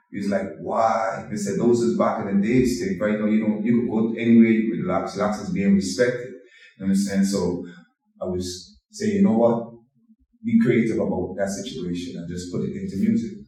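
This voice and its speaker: A middle-aged man, a dancehall artist, telling his story in a calm, measured way and often adding "you know". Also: low voice. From Guyana. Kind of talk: reflective interview storytelling. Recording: echoey room, mic far off.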